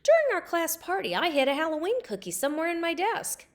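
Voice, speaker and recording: A woman voicing a goofy, excitable and blunt schoolboy in emphatic storybook dialogue. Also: very high voice, quick pace, voice pushed up, heavily acted. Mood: excited.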